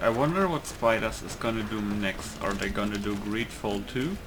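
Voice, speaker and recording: A man's voice: on the low side, animated, moderate pace, background noise. A man giving casual let's play commentary, rambling and thinking aloud as he plays. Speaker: gaming video host. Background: game music.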